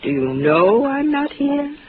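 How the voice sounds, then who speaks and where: A woman's voice: high-pitched, sing-song, slow, full character voice. Poised young woman doctor, thin radio sound. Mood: curious. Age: young adult. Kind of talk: 1950s radio drama acting. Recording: thin, phone-like, noisy 1950s radio.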